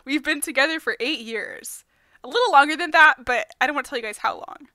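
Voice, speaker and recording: A woman's voice: high voice, a little fast, bright, forceful tone, dry recording, studio quality. A woman playing a giddy, lovesick, slightly unhinged male vlogger in an improvised comedy dub, gushing and rambling. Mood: nervous, smitten. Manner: gushing, rambling, breaking into laughter. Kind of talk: improvised parody fandub of a vlog.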